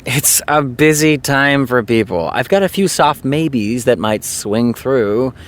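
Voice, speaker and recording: An actor playing a lonely man who deflects with deadpan jokes. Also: medium pitch, takes it slowly. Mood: coaxing. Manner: dry, deadpan joking. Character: lonely, wry man, maybe still drunk. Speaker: Warren. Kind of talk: web series drama acting.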